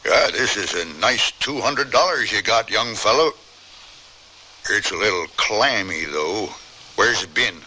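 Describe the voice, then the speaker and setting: An actor playing a wary, crafty old American rancher with a folksy drawl in a Western radio drama. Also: male voice, lowish voice, expressive, unhurried, sharp tone, husky, exaggerated voice. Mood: folksy, shrewd. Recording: faint noise on old radio recording.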